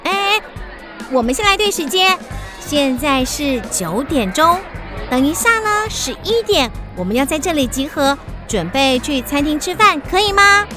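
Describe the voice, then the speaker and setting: Woman acting a high, lively primary school teacher. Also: unhurried, music in the background, crowd noise. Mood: bright, instructing. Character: cheerful, organising female primary school teacher. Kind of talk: children's radio drama acting.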